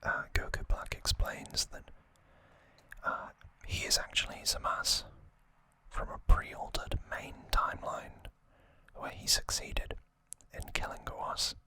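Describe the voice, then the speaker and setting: A man whispering a casual ASMR recap in short, hushed, unhurried phrases. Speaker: ASMR host.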